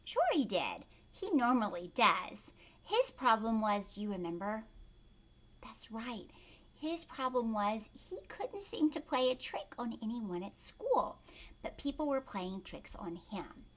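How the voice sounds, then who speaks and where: Female storyteller for kids' picture books; high-pitched, very lively, unhurried, teacherly asides and questions. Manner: expressive, checks understanding with questions to young listeners. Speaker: teacher reading a picture book aloud to children. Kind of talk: animated children's read-aloud with explanatory asides.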